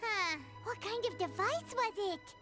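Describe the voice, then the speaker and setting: Tiny squeaky chirpy sprite companion, very high and bouncy, game score underneath. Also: female voice, lively intonation, unhurried. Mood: chirpy curious. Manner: talks about herself in third person. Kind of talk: video game voice acting. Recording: game audio with music behind.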